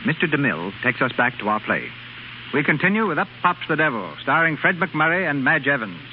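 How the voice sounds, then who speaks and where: A man's voice: on the high side, sing-song, brisk, very noisy. A man narrating from a script as a 1930s radio announcer. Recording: muffled 1930s radio recording. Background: loud music.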